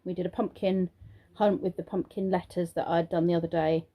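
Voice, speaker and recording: A woman's voice: fairly low pitch, fairly even intonation, a little fast, muffled recording. A young British woman vlogging at home, chatty and rambling with many ums, sounding throaty and congested from a sore throat. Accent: British English. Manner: chatty and rambling, many ums, sing-song praise when talking to children. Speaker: vlogger and childminder. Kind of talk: casual vlog chat to camera. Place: at home, indoors.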